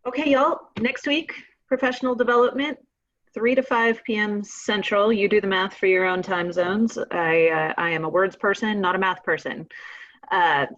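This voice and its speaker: A woman's voice: medium pitch, steady tone, moderate pace, a bit bright, no room sound, lo-fi sound. A woman hosting an informal group call in a folksy, encouraging, chatty way. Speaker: host leading the meeting. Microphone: conference call line.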